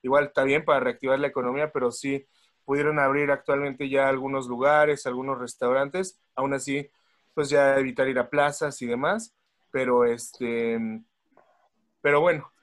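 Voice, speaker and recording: A man with a Mexico City accent chatting casually, slangy and sweary, often repeating words as he goes. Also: medium pitch. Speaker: podcast panelist. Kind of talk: casual chat.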